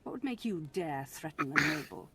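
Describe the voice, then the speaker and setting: A woman's voice: deep voice, expressive, moderate pace, very noisy. A woman playing a condescending, controlling noblewoman in a video game, with disdainful aristocratic drawl and endearments.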